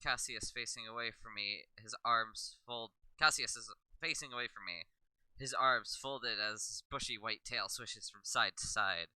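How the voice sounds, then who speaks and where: A man's voice: fairly low pitch, normal speed, bright, forceful tone, clean tone, no room sound, rough recording. A man reading first-person narration aloud from a visual novel, sometimes stumbling and rereading a line.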